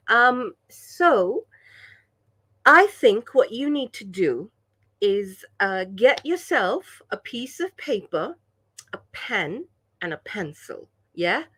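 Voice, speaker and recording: A woman's voice: mid-range voice, a bit bright. A woman from Pakistan leading an online language workshop over a video call, enthusiastic and chatty, often checking in with the group. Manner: enthusiastic, chatty, frequent "yeah?" check-ins. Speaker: workshop leader.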